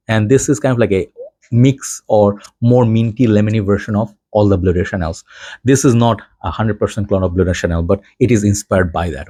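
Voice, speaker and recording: A man's voice: fairly low pitch, mellow, no echo, studio quality. A man from Bangladesh giving a rambling, chatty fragrance review to his channel, filling gaps with "you know" and laughing between points. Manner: frequent fillers. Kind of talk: casual chat to camera. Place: home in Dhaka.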